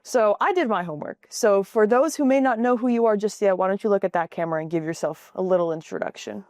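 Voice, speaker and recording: A woman's voice: medium pitch, fast, darkish tone, no echo, studio quality. A young woman hosting a podcast interview, asking upbeat, encouraging questions. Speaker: podcast host.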